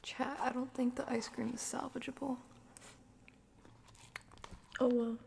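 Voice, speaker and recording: A woman hosting an ASMR livestream, chatting casually and playfully to viewers in a soft, breathy whisper and murmur. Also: high voice, little pitch movement, unhurried, slight background noise.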